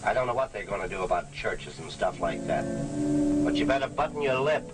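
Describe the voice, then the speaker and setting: An actor in a 1940s film playing a gruff, indifferent truck driver, curt and slangy in his talk. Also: on the low side, lively intonation, fairly quick, close to an everyday voice. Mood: curt, warning. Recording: old film soundtrack, loud music underneath.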